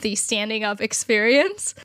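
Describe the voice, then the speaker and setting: A woman in her thirties co-hosting a casual conversation, talking quickly and expressively and laughing often. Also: on the high side, lively intonation, bright, forceful tone, no room sound. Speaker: podcast co-host.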